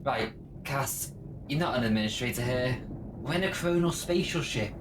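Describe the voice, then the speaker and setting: A woman's voice: a bass voice, animated, moderate pace. A woman playing a curious, teasing engineer companion in an audio drama, with a casual inquisitive manner, a little distant and noisy. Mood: teasing, eager. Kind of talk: scripted audio drama acting.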